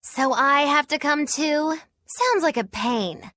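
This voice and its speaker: A woman's voice: sits high, slow pace, bright, forceful tone, no room sound, exaggerated voice. A woman voicing a mischievous, flippant young witch in a video game, teasing and theatrical. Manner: theatrical, teasing, sing-song. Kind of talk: video game cutscene voice acting.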